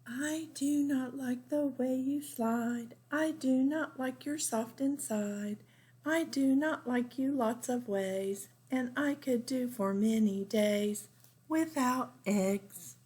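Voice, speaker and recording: A woman's voice: sits high, a little mellow, breathy edge, voice pushed up, full character voice. A woman voicing a fussy, playful young girl who chants her little songs in a storybook reading. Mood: fussy sing-song. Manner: sing-song, drawn-out little chants.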